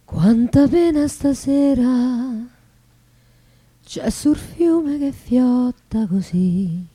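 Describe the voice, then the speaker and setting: A woman's voice: high-pitched, expressive, extremely slow, warm tone, breathy edge. A woman singer with a Roman accent, performing Roman folk songs in a theatrical style. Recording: music with plucked guitar accompaniment. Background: acoustic guitar music.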